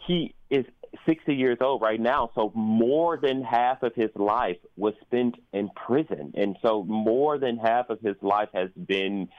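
A man speaking as an interview guest in an earnest, reflective conversational way, often halting and repeating phrases as he thinks. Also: lowish voice, no echo. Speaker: guest, performer, teacher and activist. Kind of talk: earnest interview conversation about community events. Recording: dull, lo-fi sound.

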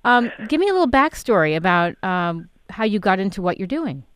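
A woman's voice: mid-range voice, sing-song, brisk, clean tone, no room sound. A woman who grew up in New York City, hosting a radio interview and asking questions in a chatty way. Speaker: radio host.

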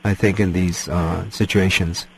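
Low husky male interviewer. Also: steady tone, measured pace. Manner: hesitant questions with fillers. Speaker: radio interviewer.

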